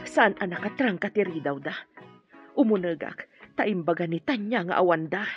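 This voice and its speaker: A woman's voice: fairly low pitch, expressive, moderate pace, muffled recording, music in the background, close to an everyday voice. A woman with a Filipino accent playing an anxious, protective older mother in a radio drama, pleading and emotional. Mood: frantic, searching. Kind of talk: Ilocano radio drama acting.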